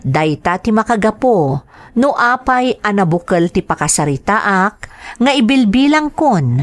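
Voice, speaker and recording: A woman's voice: mid-range voice, big pitch swings, a little slow. A woman with a Filipino accent reading the letter of a humble, hardworking man as scripted drama narration. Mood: earnest, reflective.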